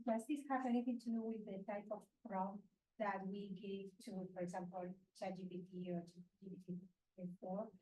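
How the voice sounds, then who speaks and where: A woman's voice: mid-range voice, warm tone, slight background noise, rough recording. Woman asking a question at a talk. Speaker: audience member asking a question.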